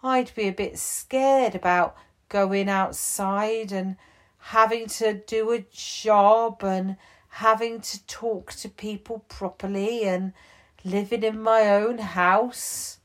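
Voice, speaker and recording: A woman's voice: mid-range voice, steady tone, slow, pitched above the performer's own voice. A woman doing a kind prison chief. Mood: gentle. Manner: gentle, reassuring.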